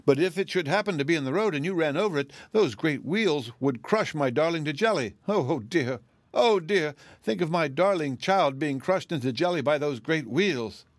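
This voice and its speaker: Guy doing a hysterical storybook woman, sobby and panicky, pitched way up, wailing over nothing. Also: animated, moderate pace, no room sound, close to an everyday voice. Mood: tearful dread. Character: hysterical, weeping woman fretting over tiny harms.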